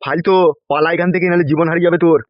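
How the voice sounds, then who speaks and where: A man's voice: on the high side, steady tone, rapid-fire, dry recording, natural voice. A man voicing a boastful, threatening corrupt politician in a comic cartoon. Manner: threatening, swaggering. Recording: dull lo-fi sound.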